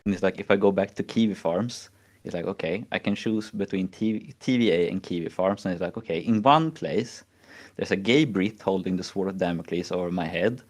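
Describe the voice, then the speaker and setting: A man's voice: sits low, a little fast. A man with a Swedish accent chatting casually on a stream, rambling and mocking, with many fillers. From Sweden. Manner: rambling, mocking, frequent "like" and "you know". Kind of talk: casual chat.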